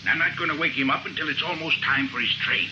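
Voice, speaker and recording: A man's voice: on the high side, fast talker, lo-fi sound, natural voice. An actor playing a shifty male hotel clerk in a 1950s radio drama, pushy and evasive. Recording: noisy vintage radio recording.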